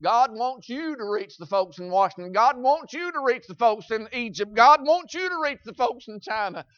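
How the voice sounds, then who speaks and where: A man preaching a sermon in a Southern US accent, folksy and repetitive, swinging between loud shouts and quiet asides. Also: extremely high pitch, lively intonation, normal speed, dry recording, lo-fi sound.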